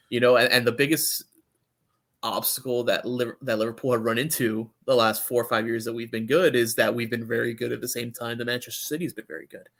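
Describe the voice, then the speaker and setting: A man's voice: medium pitch, a bit bright. A man giving opinionated, conversational football analysis in a casual podcast chat. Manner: opinionated, conversational, often checks in with "you know".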